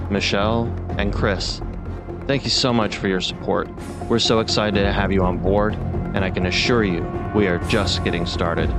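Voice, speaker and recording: A man reading scripted podcast credits and announcements as the show's producer. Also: lowish voice. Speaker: writer-producer and announcer of the show.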